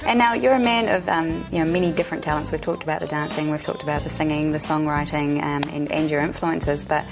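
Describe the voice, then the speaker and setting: A woman's voice: deep voice, steady tone, fast, over music, poor audio. Upbeat Kiwi TV host woman. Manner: upbeat, chatty presenter. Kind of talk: TV interview. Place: TV studio couch.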